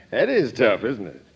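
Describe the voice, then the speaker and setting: Muffled radio brisk American police captain. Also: male voice, medium pitch, slightly rough, exaggerated voice. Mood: official, assured. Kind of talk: old-time radio crime drama acting. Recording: muffled old radio recording.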